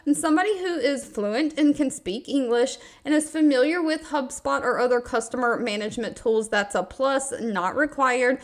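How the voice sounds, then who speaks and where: A woman with a slightly high voice presents as a video host. Her delivery is upbeat and conversational, leaning on key words for emphasis while she narrates informally from notes. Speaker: video host presenting remote job listings. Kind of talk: informal scripted screen-recording narration.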